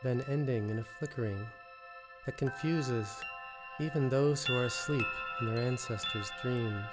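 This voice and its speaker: A man reads his own poetry in a measured, deliberate way, pausing between short phrases. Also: mid-range voice. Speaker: poet reading own work. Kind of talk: poetry reading. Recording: musical score under the voice. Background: original instrumental music.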